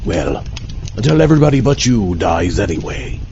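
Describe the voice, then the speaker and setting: A man playing a gruff, jolly, greedy pirate captain in a comedy audio drama, talking in rolling pirate speech. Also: fairly low pitch, big pitch swings, normal speed, warm tone, dull audio, a little hiss or hum. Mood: blustery, jovial. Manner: pirate talk, rolling and blustery.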